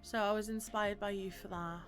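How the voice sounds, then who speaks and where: A woman's voice: medium pitch, steady tone, normal speed, soft background music. A woman hosting a live stream, chatting casually and laughing often, with lots of fillers. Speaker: streamer host.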